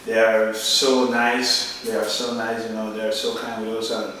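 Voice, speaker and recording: A man's voice: on the low side, flat delivery, normal speed, sharp tone. Costa Rican-accented man in a very echoey room. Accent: Costa Rican Spanish. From Costa Rica. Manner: deferential, polite. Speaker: interview guest. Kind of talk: polite interview answers. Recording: echoey room, distant mic, faint noise.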